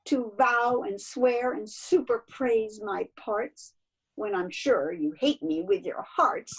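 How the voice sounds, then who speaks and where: A woman playing a wronged, pleading young gentlewoman in an amateur Shakespeare verse table read. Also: fairly high pitch, slightly rough, full character voice. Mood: indignant, hurt. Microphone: video call. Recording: dull, lo-fi video call.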